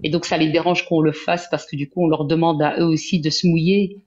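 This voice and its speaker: A woman from Belgium, a guest in a live interview, talking in an earnest, halting way with many restarts. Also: low voice, level tone, darkish tone. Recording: dull lo-fi sound.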